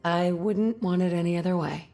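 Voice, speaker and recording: A woman playing a caring, gentle survivor in video game dialogue. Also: on the low side, normal speed, faint music behind, close to an everyday voice. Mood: tender. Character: caring female pre-war survivor, fond companion of detective.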